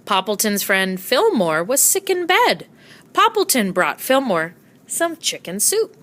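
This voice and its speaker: A woman narrating a children's storybook aloud with expressive storytelling delivery. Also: a little high, unhurried. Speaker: storybook reader.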